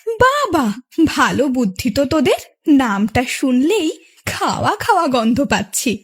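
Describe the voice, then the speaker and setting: A woman's voice: sits high, sing-song, moderate pace, husky, full character voice. A woman playing a melodramatic cartoon wife who complains and taunts in a nagging manner. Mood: curious, teasing. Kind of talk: Bengali cartoon voice acting.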